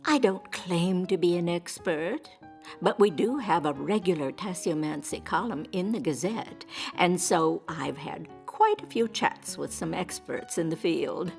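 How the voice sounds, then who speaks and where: A prim, earnest ghost reporter in an audio drama. She is polite and wordy, with a creaky edge and animated pitch. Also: unhurried, soft background music. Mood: earnest explaining. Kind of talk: audio drama character acting.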